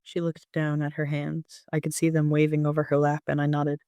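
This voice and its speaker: Even-toned man narrating, smooth and clear. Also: a little high, brisk, no room sound. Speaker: amateur narrator and author. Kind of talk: first-person audiobook narration.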